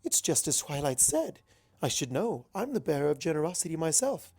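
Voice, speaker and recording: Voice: medium pitch, big pitch swings, a little fast, darkish tone, breathy edge. A narrator voicing a refined, theatrical young female unicorn pony with prim, emphatic delivery in a storybook reading. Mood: assured.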